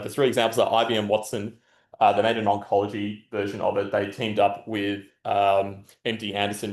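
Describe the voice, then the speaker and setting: A loud, blunt man speaks on stage in a large conference hall, his fairly low voice carrying a roomy echo off the walls. He talks loosely into a podium microphone, with plenty of filler sounds and the odd swear. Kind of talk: casual conference talk.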